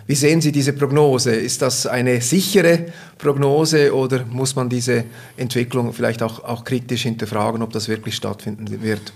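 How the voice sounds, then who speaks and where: A man's voice: mid-range voice, steady tone, moderate pace. A man from Switzerland, formally hosting a finance podcast and interviewing a guest politely. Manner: polite, formal, occasional fillers. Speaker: podcast host, bank investment officer.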